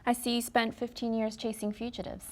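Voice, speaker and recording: Actress as fast-talking female investigator. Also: a little high, level tone, darkish tone, natural voice. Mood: probing. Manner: businesslike, probing. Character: brisk, pointed female FBI investigator. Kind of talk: film interrogation acting.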